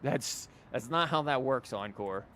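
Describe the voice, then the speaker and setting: A male livestreamer with a medium-pitched voice, chatting casually over a game. His talk is wry and rambling, and a noisy rumble sits behind it. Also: lively intonation, measured pace, fairly bright tone. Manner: wry, rambling, mock-quoting. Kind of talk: casual live game commentary.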